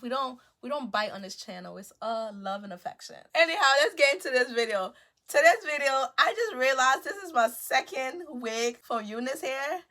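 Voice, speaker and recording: A young Liberian woman in her twenties hosts her beauty channel in a casual, upbeat chat. Her voice sits a little high, and she keeps checking in with the viewer as she talks. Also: a bit bright. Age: 20s. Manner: upbeat, chatty, repeats "okay?" for emphasis. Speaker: beauty vlogger. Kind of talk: casual direct-to-camera chat.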